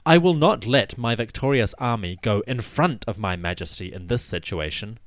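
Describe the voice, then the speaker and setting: A man's voice: fairly low pitch, lively intonation, normal speed, dry recording, clean studio sound, close to an everyday voice. A man voicing a proud, resolute pharaoh in a dramatic reading, regal and declamatory. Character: proud, resolute pharaoh addressing his generals. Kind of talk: dramatic reading of a royal proclamation.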